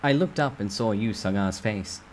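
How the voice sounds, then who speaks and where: A man's voice: fairly low pitch, moderate pace, a little hiss or hum. A man reading a first-person story aloud as a dramatic storytime narration.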